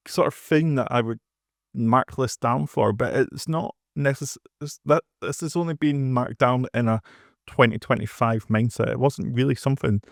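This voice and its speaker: A man's voice: mid-range voice, creaky, no echo, clean studio sound. A man hosting a podcast, chatting casually and sharing his opinions. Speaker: podcast host.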